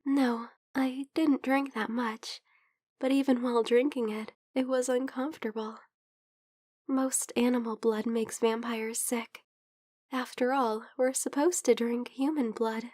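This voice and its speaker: A woman playing a caring young female vampire who is a little sick, in an intimate, gentle, hesitant ASMR roleplay that slips into whispers. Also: on the high side, fairly even intonation, measured pace, no echo, clean studio sound. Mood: explaining, subdued. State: slightly under the weather.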